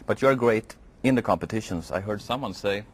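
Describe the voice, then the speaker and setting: A man's voice: lowish voice, a little fast, slight background noise. A male Swedish TV interviewer, not a native English speaker, asking friendly, teasing questions.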